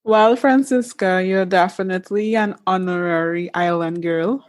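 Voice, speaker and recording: A woman's voice: fairly low pitch, fairly even intonation, moderate pace. A young woman from the Caribbean hosting a podcast, reading her introductions in a formal, polished way and interviewing a guest. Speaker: podcast host.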